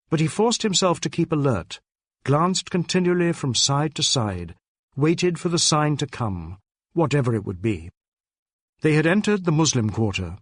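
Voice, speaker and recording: A man reading a detective novel as a narrator, in a measured, deliberate storytelling manner with careful phrasing. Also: mid-range voice, dry recording, clean studio sound. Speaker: audiobook narrator.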